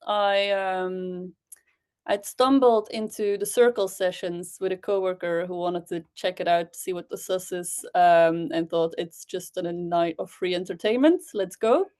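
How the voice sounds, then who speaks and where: A young woman from Belgium whose first language is Dutch, a spoken word poet talking casually in an interview, with frequent pauses and soft laughs. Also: on the low side, clean tone. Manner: hesitant, with frequent ums and laughs.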